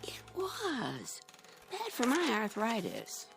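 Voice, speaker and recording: Slow, fussy old lady. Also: on the high side, huge pitch swings, creaky, music in the background, exaggerated voice. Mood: complaining. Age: older. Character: complaining, fussy old woman with aches. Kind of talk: radio drama acting.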